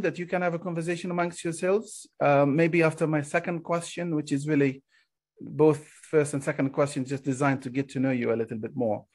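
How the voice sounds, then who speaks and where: A man's voice: medium pitch, a little mellow. A man hosting a panel discussion, introducing guests with polite, formal phrasing. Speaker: panel host.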